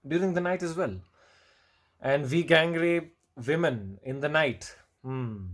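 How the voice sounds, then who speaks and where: A man reading a pointed rebuttal monologue from a prepared list, earnest with sarcastic jabs. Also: mid-range voice. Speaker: video creator responding to a comedian.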